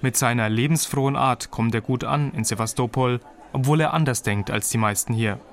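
A man reads a radio reportage script in an even, descriptive voice. His delivery is measured and clear. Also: medium pitch. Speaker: reporter narrating.

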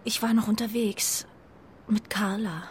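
An actress playing a proud but shaken young homing pigeon in a children's radio play, earnest and hesitant, often dropping into a hush. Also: female voice, mid-range voice, fairly even intonation, a little slow, a little hiss or hum, close to an everyday voice. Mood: worried.

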